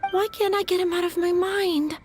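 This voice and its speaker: A woman's voice: very high voice, fairly even intonation, moderate pace. A woman playing a lovesick young flower girl in a video game, dreamy, flustered and hesitant. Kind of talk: video game character acting. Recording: music behind the voice.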